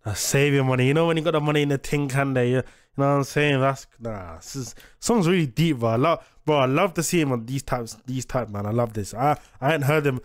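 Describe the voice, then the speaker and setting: A man's voice: medium pitch, brisk. A young adult man giving casual, slangy reaction commentary as the host of a music reaction video. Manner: slangy, street-style talk. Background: hip hop music playing.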